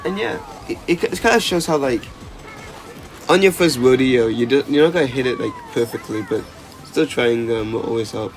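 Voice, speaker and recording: A man's voice: mid-range voice, animated, normal speed, soft background music. A young man talking casually in a vlog, rambling with lots of stammered restarts, "like" fillers and casual swearing. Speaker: YouTuber.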